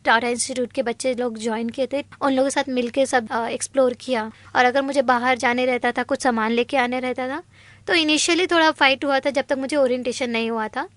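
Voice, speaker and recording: Young woman being interviewed, high pitch, throws in lots of english words. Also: steady tone, brisk, a bit bright, no echo. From Haryana, India. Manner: mixes in many English words. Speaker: interview guest, corporate HR professional. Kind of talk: radio interview answers.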